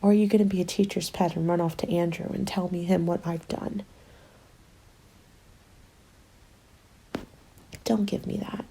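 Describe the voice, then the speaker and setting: A woman playing a cynical, scheming apocalypse survivor in an ASMR roleplay, breathy and often whispering, taunting and self-justifying. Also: fairly low pitch, steady tone, fast talker, warm tone, slight background noise, low-quality recording. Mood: defensive, accusing. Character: cynical, defiant woman survivor hoarding food, selfishly scheming.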